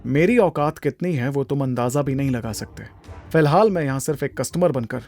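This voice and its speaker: A man's voice: mid-range voice, brisk, darkish tone, faint music behind, lightly acted. A man playing a proud, secretly wealthy husband in an audio drama, composed, terse and commanding. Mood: cool defiance. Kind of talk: Hindi audio drama acting.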